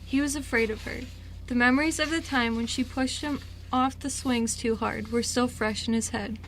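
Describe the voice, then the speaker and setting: High-voiced woman narrating a story. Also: fairly even intonation, normal speed. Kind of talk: scripted story narration for amateur radio drama. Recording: noisy amateur radio recording.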